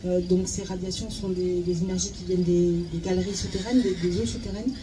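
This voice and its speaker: A woman's voice: lowish voice, steady tone, brisk. A woman interpreting informally phrase by phrase, hesitant, searching for words and repeating herself. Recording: clear background noise with chirping insects.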